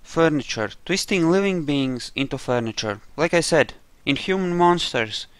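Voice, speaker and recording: A man's voice: a little high, lively intonation, moderate pace, clear, resonant tone, dry recording. Casual man commentating a game, dry recording. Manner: sweary, conversational. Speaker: gamer, playthrough host.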